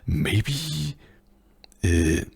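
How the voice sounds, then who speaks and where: A soft-spoken man with a German accent answers questions in a hushed, half-whispered voice. His voice is very low and gravelly, and his breathy tone is far from clear. He talks slowly, with many pauses and searches for words. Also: big pitch swings. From German-speaking country. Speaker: ASMR channel host.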